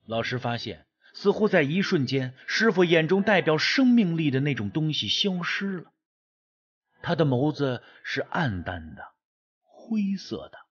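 A man narrating a ghost story audiobook in a dramatic, deliberate, suspenseful manner. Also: a little high, husky, highs cut off.

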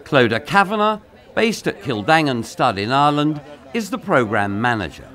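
A man giving scripted promotional narration in a polished, measured voice-over delivery. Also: mid-range voice, big pitch swings, fairly bright tone.

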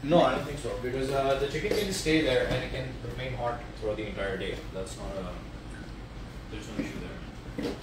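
A man's voice: medium pitch, brisk. A man in his thirties chatting loosely and jokingly while he cooks in his home kitchen, recorded on a nearby phone. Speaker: fitness coach and vlogger. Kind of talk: casual livestream cooking chat. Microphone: phone camera held or propped nearby. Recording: echoey kitchen with clear ambient noise.

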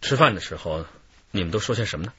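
An actor playing a calm police detective asking terse, probing questions in a radio drama. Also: male voice, low-pitched, quick pace, breathy edge, no room sound, dull audio, heavily acted.

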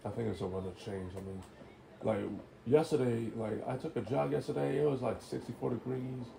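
A man's voice: medium pitch, a little fast, vocal fry, a crowd in the background, rough recording. A young man with a stuffy nose giving casual, rambling gameplay commentary, swearing freely. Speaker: gaming YouTuber.

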